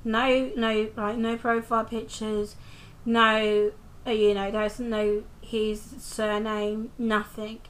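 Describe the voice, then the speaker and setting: A woman's voice: a little high, level tone, takes it slowly. A young British woman doing a casual, rambling review of a TV episode, talking into a handheld camera held close to her face, with lots of ums and restarts. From United Kingdom.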